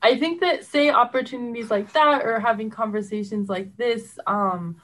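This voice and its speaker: A woman's voice: a little high, moderate pace, fairly bright tone. A woman speaking casually and conversationally as a guest in an interview.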